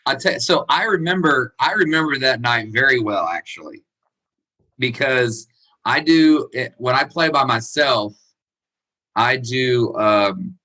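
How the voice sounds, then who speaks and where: A man with a Texan accent, a country singer telling stories in a relaxed interview and laughing easily. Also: medium pitch, a little slow, poor audio. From Texas. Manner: laid-back storytelling, laughs easily. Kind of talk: casual interview chat.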